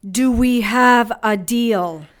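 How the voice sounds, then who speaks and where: A woman playing a defiant, sharp-tongued heroine in an audio drama, sarcastic and deliberate in manner. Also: a little high. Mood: cool resolve. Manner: sarcastic, deliberate, bargaining coolly.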